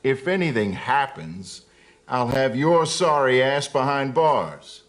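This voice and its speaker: Brusque, skeptical police detective, lively delivery. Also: male voice, mid-range voice, slow, soft background music. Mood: threatening. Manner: brusque, dismissive, streetwise tough talk. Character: gruff skeptical male LAPD police detective, threatening. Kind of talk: video game noir dialogue acting.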